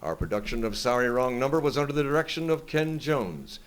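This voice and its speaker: A man's voice: mid-range voice, animated, fairly quick, smooth. A man announcing scripted credits in the style of an old-time radio show. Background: live music.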